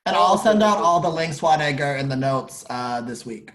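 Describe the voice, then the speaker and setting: A man giving brisk, businesslike event announcements on a video call. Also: on the high side, expressive, a bit bright. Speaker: organizer.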